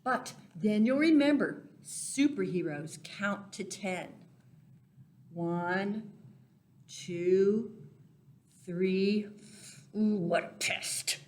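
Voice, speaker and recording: A woman reading a picture book aloud to young children as a storyteller, expressive and deliberate, stressing key words and speaking directly to her listeners. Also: mid-range voice. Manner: talks directly to young listeners, asking simple questions. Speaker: children's storyteller for a church Sunday school.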